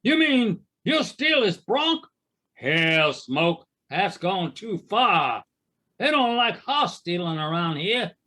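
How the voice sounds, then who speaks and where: A man's voice: high-pitched, big pitch swings, slow, pushed and bright, heavily acted. A man playing a nervous, scheming townsman in a western audio drama, urging and wheedling in an American Western drawl. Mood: uneasy. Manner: agitated, emphatic frontier drawl.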